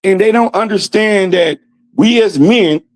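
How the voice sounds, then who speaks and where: A man's voice: high-pitched, measured pace, no room sound. A man of fifty, a guest in a casual chat, talking earnestly and agreeing with the host. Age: 50s.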